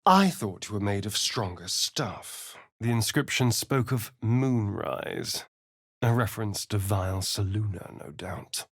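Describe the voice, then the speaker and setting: A man's voice: mid-range voice, dry recording, studio quality, exaggerated voice. A male actor playing a vain, sly, sardonic vampire adventurer in a video game, with a theatrical, haughty delivery. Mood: measured storytelling. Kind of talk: video game character voice lines.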